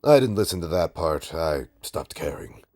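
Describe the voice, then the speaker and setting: A man playing a bitter, taunting supervillain in an audio roleplay, with a raspy, gravelly voice and a sardonic, theatrical, halting delivery. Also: a bass voice, lively intonation, a little mellow. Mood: sneering, irritated. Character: menacing, taunting male supervillain, bitter, grudgingly rehabilitating. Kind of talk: scripted audio roleplay drama.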